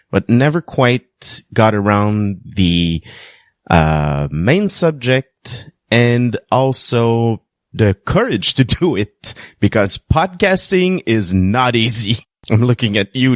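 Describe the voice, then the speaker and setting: Slow, lively man. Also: lowish voice, no echo, clean studio sound. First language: French. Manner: earnest, self-deprecating, light humor. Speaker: podcast host. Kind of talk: personal storytelling monologue.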